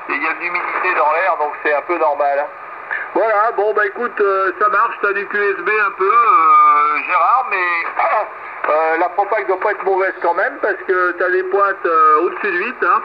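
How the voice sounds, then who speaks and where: Muffled shortwave radio with clear static carries a man's high voice. He talks casually as an amateur radio operator, handing over and chatting with the others on the frequency. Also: steady tone, moderate pace. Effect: shortwave single-sideband radio.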